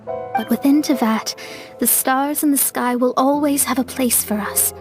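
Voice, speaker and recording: Game heroine, young astrologist: high voice, articulate, earnest, reflective and quietly proud. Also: female voice, fairly even intonation, music in the background. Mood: wistful, hopeful. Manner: measured, articulate, solemn dramatic delivery. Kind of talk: video game cutscene voice acting.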